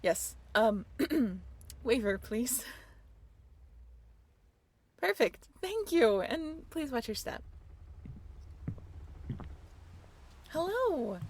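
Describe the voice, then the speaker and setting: A woman's voice: high-pitched, sing-song, extremely slow, pauses often, heavily acted. A woman playing an upbeat young tour guide on a sailing ship in a scripted audio roleplay, with a chatty, playful way of talking. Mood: flustered, pleased. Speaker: role-play voyage guide.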